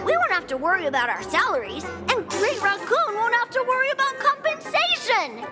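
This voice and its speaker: Very high squeaky game creature, fawning, bouncy, eager pitch, game music. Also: female voice, very animated, a little fast. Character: peppy scheming creature gossip-magazine worker.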